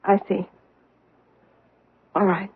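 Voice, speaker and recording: An actress playing a wary middle-aged wife in a radio drama, hesitant yet insistent. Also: female voice, medium pitch, flat delivery, very slow, husky, exaggerated voice. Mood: anxious. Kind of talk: 1970s radio drama acting. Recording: tinny old radio broadcast.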